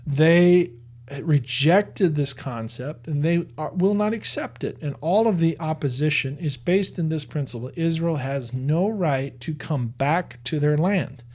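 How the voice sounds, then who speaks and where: A man's voice: a little high, animated, unhurried, a little mellow. A man giving earnest religious commentary in a conversational tone, often restarting and repeating words as he makes his case.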